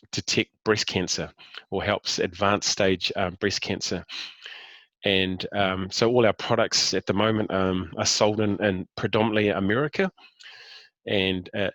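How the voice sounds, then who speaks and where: Low-voiced New Zealand man in his fifties. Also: fairly bright tone, no echo, poor audio. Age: 50s. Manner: hesitant, many fillers and restarts. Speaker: interview guest. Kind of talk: casual interview talk.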